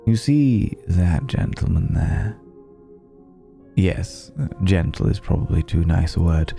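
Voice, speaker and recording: A man playing a suave, ancient vampire club owner in an intimate ASMR roleplay, speaking in a genteel, quietly menacing way and savouring his pauses. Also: deep voice, lively intonation, gravelly, faint music behind, heavily acted. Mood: wry, confiding. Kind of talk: ASMR roleplay, intimate second-person acting.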